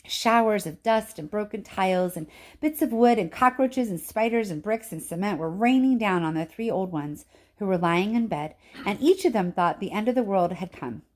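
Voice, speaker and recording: A woman teacher reading a children's story aloud in an expressive narrating style. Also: mid-range voice, normal speed.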